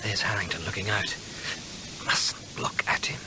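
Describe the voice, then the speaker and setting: An actor playing an anxious, hunted British gentleman in a radio drama, nervous and hesitant, often muttering his thoughts under his breath. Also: deep voice, steady tone, a little slow, whispered, poor audio. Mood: tense, furtive. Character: anxious, hunted gentleman steeling himself in secret. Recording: radio drama with clear background noise.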